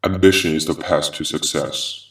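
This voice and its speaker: A man voicing a vengeful half-machine former attorney in a grave, deliberate, ominous horror game monologue. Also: extremely low pitch, fairly even intonation, a bit bright. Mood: ominous, philosophical. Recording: echoey, distant-sounding voice.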